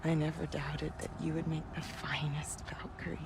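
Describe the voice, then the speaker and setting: A middle-aged actress playing a proud, grieving Norse goddess and mother in a video game, intense and measured, with music behind her. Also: sits low, little pitch movement, background noise. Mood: solemn, resolute. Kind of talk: dramatic video game acting.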